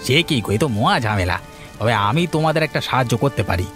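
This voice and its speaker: A man's voice: medium pitch, animated, quick pace, fairly bright tone, soft background music, close to an everyday voice. A man playing a helpful, concerned village elder in a cartoon folk tale, asking questions and giving advice. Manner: advising, inquiring.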